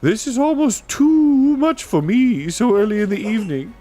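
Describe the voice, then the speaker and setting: A big, flustered storybook owl, hooting in a very high voice that swoops widely. Vowels are stretched out and fussy, with a muttering courtly manner. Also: male voice, big pitch swings, dark tone, soft background music. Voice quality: hooting, drawn-out falsetto vowels. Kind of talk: storybook character voice.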